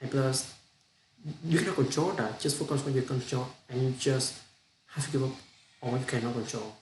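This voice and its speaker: A young man with a Vietnamese accent, a non-native English speaker, gives an unscripted practice monologue with halting speech, frequent restarts and repeated words. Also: mid-range voice, reverberant, background noise. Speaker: student practicing spoken English.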